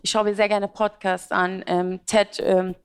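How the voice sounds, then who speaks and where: A woman's voice: medium pitch, flat delivery, measured pace, clean tone. A woman in her twenties, born in Iraq with Aramaic as her first language, answering interview questions as a blogger in a talkative, rambling way with fillers. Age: 20s. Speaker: beauty and fashion blogger, guest.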